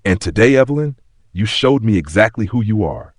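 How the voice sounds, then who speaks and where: A man voicing a calm, stern, quietly menacing airline CEO in an audio story, measured and firm. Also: on the low side, husky, no room sound, clean studio sound, pitched above the performer's own voice. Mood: calm, probing.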